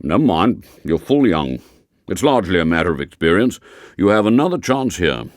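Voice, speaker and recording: A man voicing a courteous, fatherly old English headmaster giving measured advice, in an audiobook. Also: low-pitched, big pitch swings, no room sound, studio quality.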